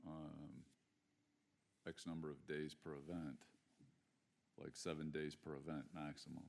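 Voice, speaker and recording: A township supervisor thinking aloud in a meeting, weighing options with a dry sense of humor. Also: male voice, a bass voice, level tone, a little slow, gravelly. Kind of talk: meeting discussion.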